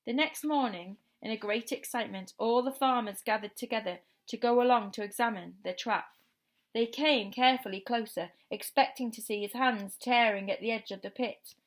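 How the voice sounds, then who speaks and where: A woman reading a children's storybook aloud like a teacher, with expressive, dramatic and deliberate phrasing and emphasis on questions and key words. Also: fairly high pitch.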